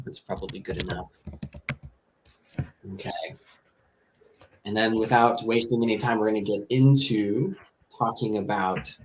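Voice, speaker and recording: An upbeat man from America hosts a live teacher webinar in a mid-range voice, chatty and quick to repeat himself. Faint background noise sits under his video-call audio. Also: medium pitch, low-quality recording. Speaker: host, ESL teacher and music producer.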